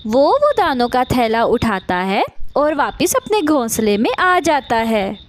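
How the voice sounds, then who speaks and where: A woman's voice: fairly high pitch, very animated, measured pace, slight background noise. A woman narrating a scripted cartoon story as its storyteller.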